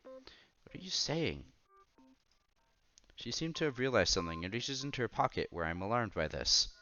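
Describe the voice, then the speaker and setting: A man reading story narration aloud with expressive, theatrical reactions as he hosts a visual novel playthrough. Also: lowish voice, fast, muffled recording.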